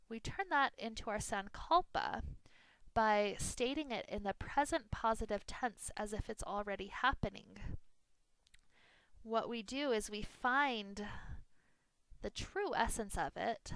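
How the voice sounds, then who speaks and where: Woman, meditation teacher, soothing delivery, unhurried phrasing with pauses, mid-range pitch, dry close recording. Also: fairly bright tone, clean tone, no echo, rough recording. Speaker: yoga nidra meditation teacher. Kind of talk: guided meditation talk.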